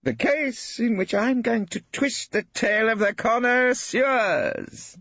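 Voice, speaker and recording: Old bohemian painter, male, expansive and boastful; raised, husky. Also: slow, a bit bright, no echo, dull audio, full character voice. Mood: boisterous. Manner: booming, theatrical, expansive. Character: boisterous hearty old male artist, swaggering.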